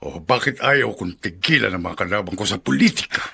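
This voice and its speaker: An actor playing an irritable, suspicious middle-aged congressman and father in a Filipino radio drama, with a rough voice and forceful manner. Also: fairly low pitch, expressive, a little fast, heavily acted. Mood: annoyed. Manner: forceful, demanding.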